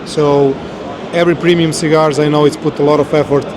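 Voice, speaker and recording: A man with a Serbian accent, a guest in a casual interview, speaking in a steady, measured way with some hesitation. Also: a little high, noisy background. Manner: steady, measured, a little halting.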